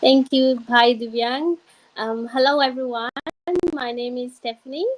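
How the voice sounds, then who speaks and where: A woman from Fiji, giving a personal testimonial as a guest on an online show, earnest and halting. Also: on the high side, fairly even intonation, slight background noise, low-quality recording.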